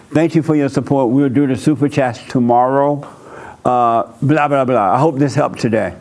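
A man preaches bluntly to his congregation, laying down advice in a dialect and chuckling at his own lines. His voice sits at a middle pitch. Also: a little mellow. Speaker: pastor hosting a church call-in session. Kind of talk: blunt counseling talk to a congregation.

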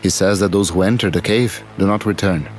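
An actor playing a cautious, grave male expedition guide in an audio drama, measured and foreboding. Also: deep voice, fairly even intonation, faint music behind, close to an everyday voice. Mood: matter-of-fact. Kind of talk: immersive audio drama acting.

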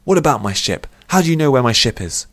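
A man's voice: sits low, fairly even intonation, measured pace, dry recording. A man voicing an easily riled, grumbling airship captain in an audiobook, blunt and exasperated. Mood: alarmed. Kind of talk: audiobook character voice.

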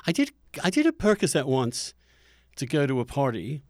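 A man's voice: medium pitch, huge pitch swings, moderate pace, a little mellow, dry recording, studio quality. A middle-aged man hosting a conversational podcast interview, giving opinions emphatically and often restarting his phrases. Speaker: podcast host.

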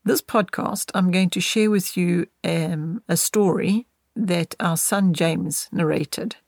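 A middle-aged woman speaks as a podcast host in a fairly low voice. She talks conversationally and gently, often repeating a phrase for emphasis. The sound is very dry, with hardly any room. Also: takes it slowly, dry recording. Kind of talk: casual, conversational podcast introduction.

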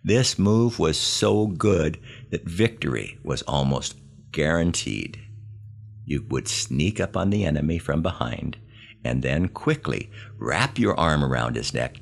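An older American man tells scripted stories from his own life. His voice sits extremely low and has a heavy, gravelly creak. He delivers it with wry self-deprecation, leaving deliberate pauses for emphasis. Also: sing-song. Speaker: podcast host and storyteller. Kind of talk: scripted humorous memoir narration.

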